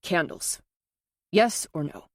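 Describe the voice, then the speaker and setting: A woman narrator voices an earnest, self-conscious man in an audiobook. He is plain-spoken and restrained, a little awkward, pitched low in a dry, close recording. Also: expressive, slow, dry recording.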